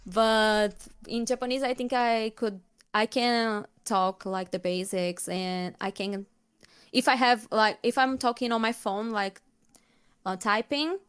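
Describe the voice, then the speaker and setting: A woman's voice: a little high, steady tone, unhurried, a bit bright, clean tone. A young woman from Brazil with a Brazilian Portuguese accent, a Portuguese native speaker chatting as an interview guest, often restarting and searching for words. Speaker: guest, K-pop cover singer. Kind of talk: casual interview chat.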